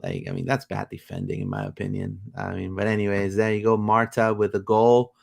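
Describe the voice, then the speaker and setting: Low-voiced rambling man hosting a soccer show. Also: fairly quick, vocal fry. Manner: rambling, lots of fillers, excited bursts. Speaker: podcast host. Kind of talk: casual livestream chat about soccer.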